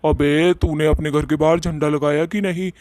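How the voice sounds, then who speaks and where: A man's voice: fairly high pitch, fairly even intonation, normal speed, darkish tone, dry recording, close to an everyday voice. A man voicing a bossy, boastful male ghost in a Hindi cartoon story, with a swaggering, colloquial way of talking. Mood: bullying. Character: bossy, boastful male ghost who bullies villagers and flatters his sweetheart.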